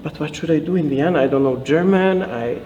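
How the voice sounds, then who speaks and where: A man in his late thirties from Serbia, speaking English, telling his story in a relaxed, thoughtful interview manner. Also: on the high side, fairly quick, muffled recording, soft background music. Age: 30s. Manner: thoughtful, lightly laughing, hesitant fillers. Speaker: fashion designer guest.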